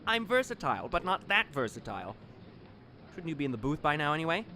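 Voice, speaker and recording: An actor playing a suave but easily exasperated radio show host, in a theatrical, old-time radio drama manner. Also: male voice, on the high side, sing-song, quick pace, bright, forceful tone, slight background noise, heavily acted. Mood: exasperated, hushed. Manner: theatrical, polite in front, snippy aside. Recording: music behind the voice.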